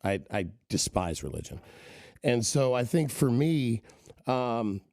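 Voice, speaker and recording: A man's voice: medium pitch, expressive, slow, a little mellow, no echo. A middle-aged man telling long, rambling stories as a podcast guest, with many "right?" tags. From Kenya and the southern US. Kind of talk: casual storytelling interview.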